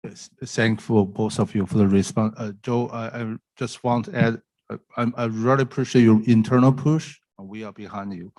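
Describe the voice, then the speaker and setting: A man at a community forum asking questions haltingly, searching for words with many fillers. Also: fairly low pitch, fairly even intonation, a little mellow, poor audio.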